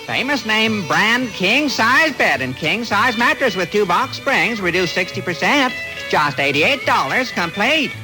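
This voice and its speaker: A man's voice: high-pitched, rough recording. A man delivering a hard-sell retail advertisement on 1960s AM radio. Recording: old AM radio aircheck, music behind.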